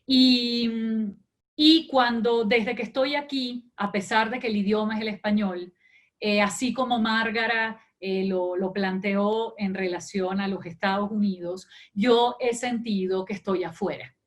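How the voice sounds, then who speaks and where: A woman writer on an online panel, speaking reflectively with halting pauses and fillers. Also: medium pitch, steady tone. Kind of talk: reflective discussion.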